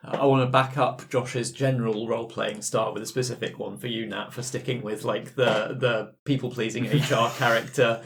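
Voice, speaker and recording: A man's voice: mid-range voice, steady tone, moderate pace, few pauses, slight background noise. A man who plays tabletop games, giving his thoughts informally in a post-game chat.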